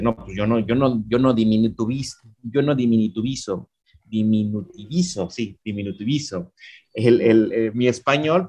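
A middle-aged man, a professor talking things through in a relaxed discussion, with frequent restarts and fillers. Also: fairly low pitch. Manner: halting, many repeated words and fillers. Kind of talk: conversational academic discussion.

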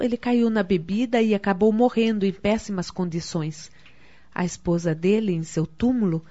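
A woman playing a discreet sister-in-law who quietly confides family history in a radio soap opera. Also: medium pitch, natural voice. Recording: dull lo-fi audio.

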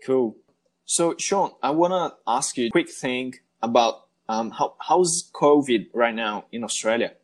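A man's voice: medium pitch, a little slow. A Brazilian man, a native Portuguese speaker, hosting a casual podcast interview in careful English with a friendly manner. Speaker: podcast host.

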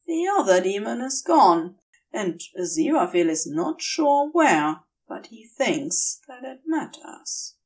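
A woman narrating fiction in a gentle, measured, expressive storytelling style. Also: mid-range voice, far from the mic. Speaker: fan fiction audiobook reader.

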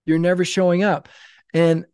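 A man in his fifties, a podcast guest and author, talking in an animated, emphatic storytelling way. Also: high voice, moderate pace, smooth, dry recording. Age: 50s. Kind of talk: casual podcast conversation.

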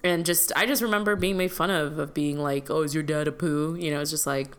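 A young woman with a low voice co-hosts a casual podcast. She banters fast and laughs easily, talking frankly with her co-host. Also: fairly even intonation. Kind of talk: casual chat.

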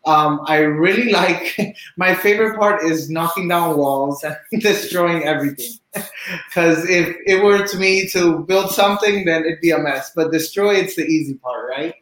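A man's voice: fairly high pitch, moderate pace, fairly bright tone, roomy sound, low-quality recording. A young man from Cuba, a bartender and real estate agent, chatting in an upbeat way with many fillers in an interview. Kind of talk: casual interview answers.

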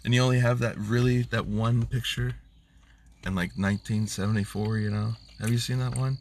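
A man's voice: mid-range voice, steady tone, moderate pace, far from the mic, a little hiss or hum. A man co-hosting a casual, boozy podcast chat, rambling in a laid-back way with plenty of swearing. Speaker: podcast co-host.

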